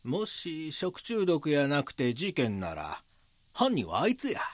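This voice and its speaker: A rough-mannered, grudging local with a Kansai accent in an audio drama. He talks bluntly and informally, with hugely varied intonation in a dry, close sound. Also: a little high, wildly swooping pitch, slow pace, no echo, full character voice. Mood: accusing. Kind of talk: Japanese audio drama dialogue.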